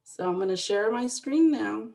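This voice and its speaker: A woman's voice: a little high, animated, moderate pace, vocal fry, darkish tone. A woman from the Dominican Republic, an online seller, giving an upbeat explanatory webinar presentation, often adding "right?". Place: video call.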